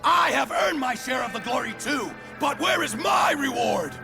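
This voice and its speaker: An actor playing a proud, anguished, enraged ancient Greek warrior in a video game cutscene, declaiming intensely and often shouting. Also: male voice, extremely high pitch, animated, normal speed, creaky, over music, exaggerated voice. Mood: indignant, furious.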